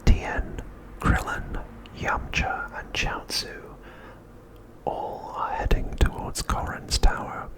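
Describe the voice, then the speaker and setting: A man narrating an ASMR recap in a breathy, unvoiced whisper, with deliberate phrasing broken by short pauses. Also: poor audio. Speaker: ASMR host.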